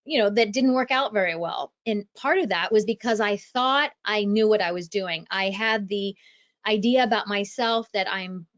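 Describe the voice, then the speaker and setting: A woman from Ohio, an author speaking as a podcast guest and telling her story in a measured way. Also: medium pitch, highs cut off. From Ohio, USA. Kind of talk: podcast interview.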